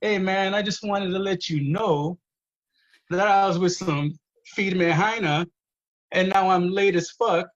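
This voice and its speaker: A man imitating his rough uncle, who sang and rhymed everything he said. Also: sits high, measured pace, highs cut off, pitched above the performer's own voice. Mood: cocky, singsong. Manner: sings and rhymes every line. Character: singsong rhyming rough uncle, middle-aged man.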